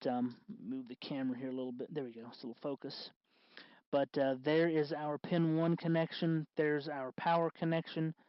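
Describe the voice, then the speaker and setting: A man hosting a casual hands-on DIY tutorial, explaining in a halting, unscripted way, with slightly muffled sound. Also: a little high, no echo. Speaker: host of DIY electronics tutorial.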